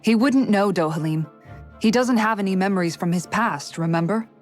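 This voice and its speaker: Game companion actress: practical, caring woman, medium pitch, firm delivery, light music underneath. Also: moderate pace, lightly acted. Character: caring, practical, brisk female party companion. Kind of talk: dramatic video game acting.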